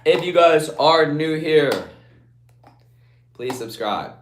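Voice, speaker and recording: A young man from Guatemala on a casual live stream, reading comments aloud and answering in a laid-back way, often trailing off quietly, through a phone propped on a ledge. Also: on the high side, expressive, takes it slowly. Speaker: livestreamer.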